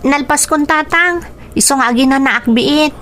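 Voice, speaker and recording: A woman portrays a sorrowful young daughter in a radio drama. Her voice is high and earnest, with a plaintive, dutiful way of speaking, as a hardworking elder sister. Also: moderate pace, fairly bright tone, slight background noise. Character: dutiful, sorrowful young daughter, eldest-sister type, in poor farm family. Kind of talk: Ilocano radio drama acting with inner monologue.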